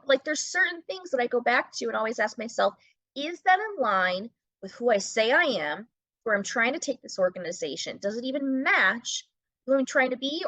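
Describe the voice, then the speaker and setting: A woman's voice: sits high, fairly bright tone, no echo, dull audio. A middle-aged woman talking as a podcast guest, making her points emphatically and often asking and answering her own questions. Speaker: podcast guest, brand-building business owner and teacher. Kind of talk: conversational podcast interview.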